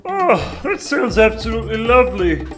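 A woman acts out a lazy, self-important male cartoon ape boss. The voice is somewhat high and swoops animatedly over light music. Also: sing-song, normal speed, a bit bright. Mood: pompous.

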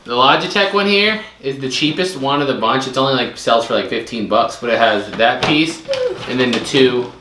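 A man's voice: medium pitch, moderate pace, bright, forceful tone. A man in casual chat, going over items and estimating what they will resell for. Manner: chatty, estimating resale prices with a few restarts. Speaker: co-host reselling storage unit finds. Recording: echoey room with faint noise.

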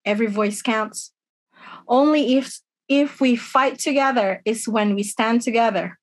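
Earnest middle-aged woman, slightly high voice. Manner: earnest, measured, slightly halting delivery. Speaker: union member. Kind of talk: personal testimony read aloud. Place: dim room at home. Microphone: webcam on a video call.

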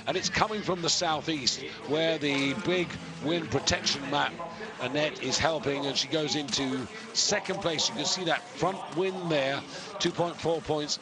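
Unhurried male ski jumping commentator. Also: on the high side. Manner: measured, informative, frequent pauses and fillers. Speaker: sports commentator.

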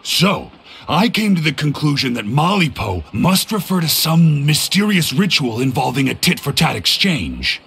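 A man voicing an earnest, excitable scholar of ancient lore in a video game, emphatic as he speaks. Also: a little high, normal speed, creaky, slight background noise, heavily acted. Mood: earnest, insistent.

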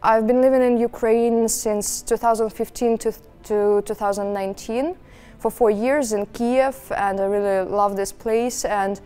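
A young woman with a Russian accent speaking English in an interview, hesitant and earnest, with many pauses and fillers. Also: a little high, steady tone, dark tone, clear, resonant tone, soft background music. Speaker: interviewee, student and freelance journalist. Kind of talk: sit-down interview answers. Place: indoor studio space.

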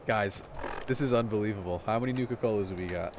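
A man in his twenties in a small room with a headset, casually commentating a live stream and chatting excitedly with viewers. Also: fairly low pitch, quick pace, noisy background. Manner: excitable, chatty, addresses chat.

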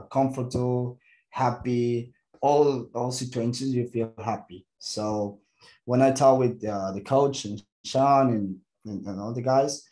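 A young man with a Mexican Spanish accent answers questions at a press conference. His English is halting, full of restarts and pauses as he reaches for the right word. His voice sits in a medium range. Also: medium pitch, warm tone, low-quality recording. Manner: halting, searching for English words, many restarts. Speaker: football player.

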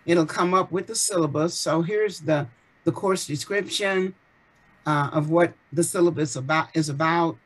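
A woman's voice: sits low, normal speed. A woman explaining a course syllabus step by step over a video call. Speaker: course administrator. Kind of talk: walking through a syllabus on screen.

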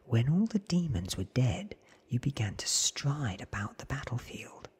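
A man voicing an earnest, awed young man from a storybook in a hushed, breathy ASMR whisper. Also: mid-range voice, sing-song, heavily acted. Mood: grim, earnest. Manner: hushed, drawn-out, earnest telling.

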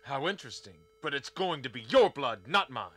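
A man's voice: medium pitch, big pitch swings, a little fast, sharp tone, breathy edge, dry recording, exaggerated voice. A man voicing a cocky hero agent in a game cutscene, delivering smug wisecracks.

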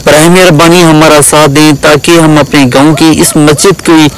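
A man's voice: on the high side, steady tone, moderate pace, poor audio. A man making a spoken charity appeal in a plain, pleading manner. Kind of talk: spoken appeal describing a village mosque.

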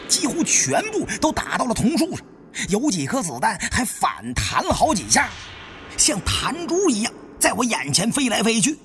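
A man narrating an adventure thriller audiobook in an expressive, suspenseful storytelling style. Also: high voice. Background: background music.

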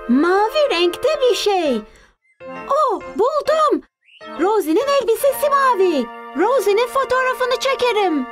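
A woman voicing a curious, excitable little boy in a children's cartoon dub, eager and childlike. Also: extremely high pitch, unhurried, over music, heavily acted. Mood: excited wonder. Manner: eager, childlike, wide-eyed. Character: curious, excited four-year-old boy.

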